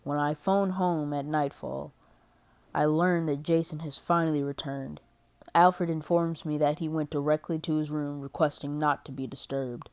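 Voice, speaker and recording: A woman's voice: low-pitched, steady tone, normal speed, darkish tone. A woman voicing a brooding, grim male vigilante detective who narrates his thoughts in a solemn, terse, introspective comic book narration.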